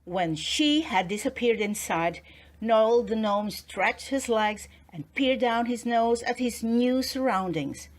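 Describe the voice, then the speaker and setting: A woman's voice: a little high, expressive, slow pace, low-quality recording. A woman narrating a children's storybook in a scripted read-aloud style.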